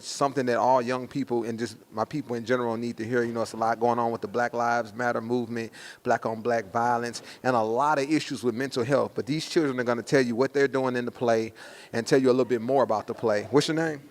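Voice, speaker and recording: Guy hosting a promo interview, talks real fast, slangy hype style, average pitch. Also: level tone. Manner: slangy hype talk, often ending phrases with "man". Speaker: host.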